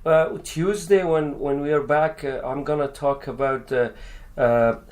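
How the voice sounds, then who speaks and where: A man's voice: a little high, fairly even intonation, measured pace, a little mellow. A man from Afghanistan, a car dealer, chatting casually on radio and often repeating his words. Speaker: used car dealer, new radio show host.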